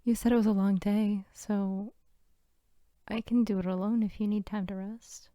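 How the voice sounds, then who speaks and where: A woman playing a sweet, bookish, playful archivist girlfriend in an intimate ASMR roleplay, soft-spoken and teasing. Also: medium pitch, fairly even intonation, moderate pace, no echo, studio quality, lightly acted. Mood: cozy, affectionate. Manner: soft-spoken, often near whisper, giggly, teasing.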